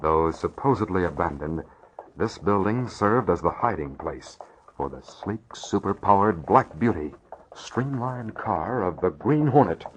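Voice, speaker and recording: A man's voice: sits low, lively intonation, a little slow. A man narrating a scripted radio adventure drama in an old-time broadcast style. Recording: dull old radio recording, faint noise.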